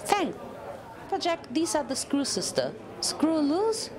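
A woman voicing a bubbly, excitable female cartoon pony prisoner who is relentlessly optimistic, in a story reading. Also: high voice, unhurried, crowd noise, noisy background, pitched above the performer's own voice. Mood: cheerful, excited. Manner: excitable, chirpy, bouncy.

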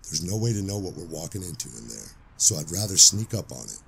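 Man playing a grizzled veteran cop, low voice, expressive swings, brooding, audio drama, music underneath. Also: creaky, slight background noise, full character voice. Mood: stern, hushed. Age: older. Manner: brooding, measured storytelling. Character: grizzled, street-hardened old police sergeant, world-weary, days from retiring. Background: background music.

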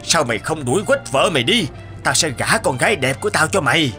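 A man's voice: a little high, expressive, brisk, bright, forceful tone. A man playing a greedy, scheming old rich villager in a cartoon folktale, insinuating and wheedling. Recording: music behind the voice.

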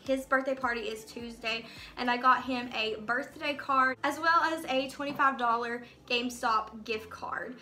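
A woman's voice: fairly high pitch, normal speed, pushed and bright. A young woman, a mother, chatting casually and rambling a little as she talks straight to the camera in her home kitchen. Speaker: family vlogger. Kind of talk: casual grocery haul vlog.

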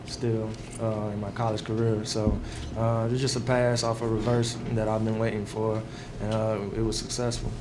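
A young man, a college football player answering questions after a game at a table microphone, speaking casually and haltingly. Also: on the low side, level tone, noisy background. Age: young adult. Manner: casual, halting, laid-back. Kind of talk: postgame press answers. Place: press room before a sponsor backdrop. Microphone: table mic in front.

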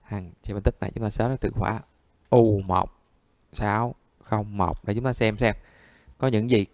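A man's voice: lowish voice, steady tone, moderate pace, a little mellow, no echo. A man giving a conversational step-by-step repair tutorial, often checking that listeners follow. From Vietnam. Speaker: phone repair instructor.

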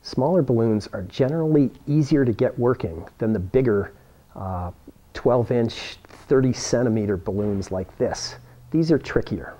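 A man's voice: mid-range voice, lively intonation, normal speed, mellow. A man, giving a plain-spoken, encouraging how-to demonstration to camera as an instructor. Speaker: science toy-making instructor. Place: indoor workroom with cabinets.

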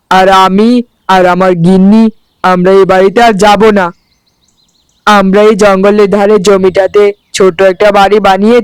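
An actor playing a dutiful, tender and hurt elder brother, speaking earnestly in a melodramatic cartoon story. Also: male voice, high-pitched, clean tone, no room sound, close to an everyday voice, poor audio. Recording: music under the voice.

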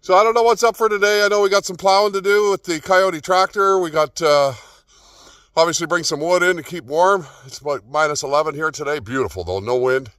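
Relaxed man vlogging on a snowy trail. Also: fairly high pitch, brisk, dry recording, studio quality. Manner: relaxed, rambling, with many fillers. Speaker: homesteading vlogger. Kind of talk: casual outdoor vlog. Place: outdoors on a snowy forest trail. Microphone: phone at arm's length, selfie.

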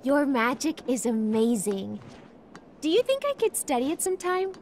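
A woman's voice: sits high, sing-song, moderate pace, darkish tone, a little hiss or hum. A woman playing a bubbly, eager young science heroine in a video game, speaking with enthusiasm. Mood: admiring. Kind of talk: animated video game cutscene acting. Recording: music behind the voice.

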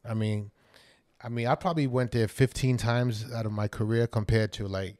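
A man's voice: medium pitch, normal speed. Here a middle-aged man with a New York accent tells old DJ stories in casual podcast talk, swearing freely and punching certain words. He speaks close into a studio mic in a small room. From Brooklyn, New York. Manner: animated, profane storytelling with bursts of emphasis. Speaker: guest DJ. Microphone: studio mic close up, wearing headphones.

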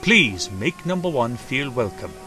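A man's voice: medium pitch, very animated, a little slow, pushed and bright, soft background music. A man voicing a proud, assuring railway controller in a children's storybook, making formal, authoritative speeches.

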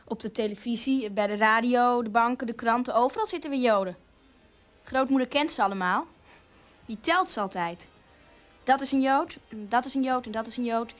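A resentful, naive girl of nineteen played by a woman talks away in a radio drama. Her voice is high and chatty, always justifying herself, with faint music behind. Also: normal speed, lightly acted. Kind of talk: conversational radio drama acting.

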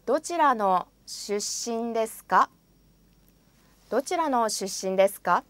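A woman's voice: a little high, animated, a bit bright, no echo. A woman in a studio speaking example phrases for a language course, saying each one carefully and then repeating it. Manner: careful, deliberate pronunciation.

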